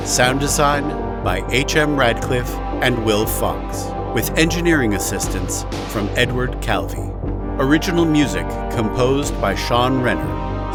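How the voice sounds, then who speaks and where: A man with a medium-pitched voice reads scripted credits as an announcer. He takes it slowly, over very prominent music.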